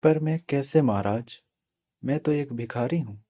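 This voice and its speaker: A man's voice: medium pitch, a little slow, warm tone, close to an everyday voice. A man playing a humble, practical beggar husband in a cartoon fairy tale, plain and respectful. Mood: surprised, humble.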